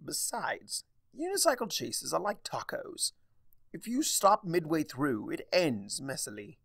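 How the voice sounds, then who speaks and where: A man voicing a theatrical, sardonic, mischievous male chaos spirit with a wry, drawn-out delivery in a fan fiction reading. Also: fairly high pitch, big pitch swings, creaky, fairly bright tone, breathy edge, dry recording, clean studio sound, pitched above the performer's own voice, full character voice. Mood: smug, amused.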